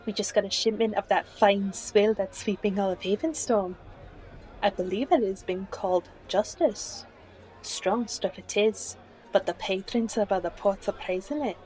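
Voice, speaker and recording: A woman's voice: mid-range voice, moderate pace, a little mellow, some chatter behind, natural voice, rough recording. A woman playing a friendly, wry bartender in a fantasy audio roleplay, chatty and familiar in manner. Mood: welcoming, folksy.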